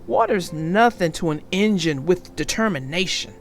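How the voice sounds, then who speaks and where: A woman voicing a cheeky, boastful young male tank engine in a read-aloud storybook, speaking loudly. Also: fairly low pitch, expressive, normal speed, soft background music, pitched below the performer's own voice, close to an everyday voice.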